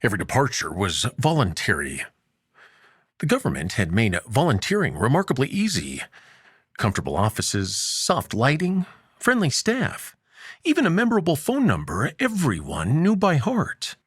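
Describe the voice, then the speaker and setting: A man hosting a story show and narrating a science fiction tale, expressive, dropping to hushed low lines and lifting for emphasis. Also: moderate pace, breathy edge, no room sound, studio quality. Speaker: host and narrator.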